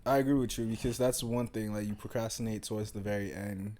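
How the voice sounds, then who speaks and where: A man in his late twenties, co-hosting a podcast in relaxed casual chat and laughing often. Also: fairly low pitch, quick pace. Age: 20s. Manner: laid-back, laughs often. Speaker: podcast co-host.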